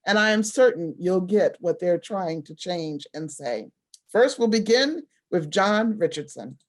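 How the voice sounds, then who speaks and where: A woman's voice: lowish voice, animated, unhurried. A woman hosting a storytelling event in a casual, effusive and appreciative way. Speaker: host of a storytelling showcase.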